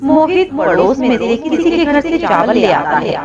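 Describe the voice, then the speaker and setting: Woman narrator, highish voice, very lively intonation, scripted moral story, dull sound, loud crowd behind. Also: normal speed.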